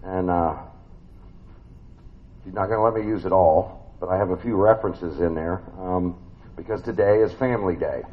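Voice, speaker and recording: Man, big voice, lower pitch, folksy church sermon, lo-fi dull sound. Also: normal speed, long pauses. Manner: conversational, folksy, many fillers and restarts. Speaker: preacher. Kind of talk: family sermon.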